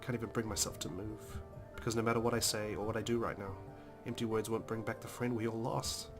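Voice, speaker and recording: A man's voice: lowish voice, steady tone, fast talker. A man hosting a let's play, reading visual novel narration aloud dramatically with casual, emotionally involved comments. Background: game music.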